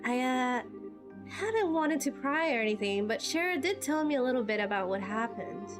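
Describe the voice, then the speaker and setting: A woman plays a girlish young fighter from a fantasy game, perky and excitable. Her voice is high and expressive, with music clearly behind her informal, friendly delivery. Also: normal speed. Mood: concerned. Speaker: amateur voice actor playing through a game. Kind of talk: amateur game dialogue voice acting.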